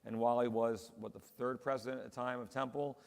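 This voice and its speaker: A man's voice: mid-range voice, level tone, fairly quick, gravelly, a little mellow. A man, a rabbi, chatting casually on a panel and telling stories with lots of fillers. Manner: chatty storyteller, many fillers. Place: large synagogue hall. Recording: long hall reverb.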